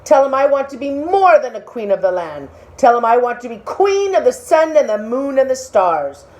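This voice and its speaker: A woman's voice: sits high, big pitch swings, moderate pace, darkish tone, pitched above the performer's own voice. A woman voicing a greedy, never satisfied fisherman's wife in a storybook, giving insistent, imperious orders.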